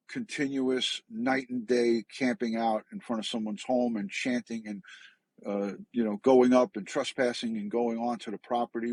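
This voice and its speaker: A man's voice: medium pitch, no echo. A middle-aged man, a retired law enforcement officer, giving earnest, emphatic and disapproving opinion commentary.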